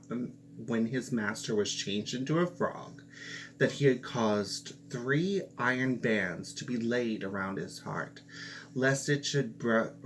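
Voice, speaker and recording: Man reading a fairy tale really slowly, steady normal pitch, faint hum behind. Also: low-quality recording. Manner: slow, careful reading with small restarts. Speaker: storyteller.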